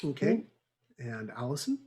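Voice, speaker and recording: A man's voice: medium pitch, sing-song, measured pace, dark tone. A man hosting a book review discussion, speaking in a wry, articulate and emphatic way. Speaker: podcast host and moderator; English composition teacher. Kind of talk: book review discussion, partly reading prepared notes.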